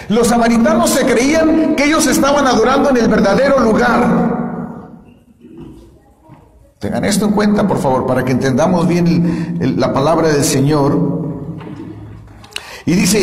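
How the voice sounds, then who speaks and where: A man preaching a sermon, asking the congregation questions and having them repeat lines after him. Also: sits high. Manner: asks the congregation to repeat and answer. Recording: echoey hall with long reverb.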